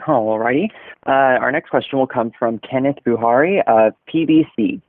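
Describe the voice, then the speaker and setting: A man's voice: medium pitch, moderate pace. A man moderating a conference call, making formal procedural announcements. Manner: formal, repeats instructions. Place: phone line on a teleconference. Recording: noisy, muffled phone line with clicks.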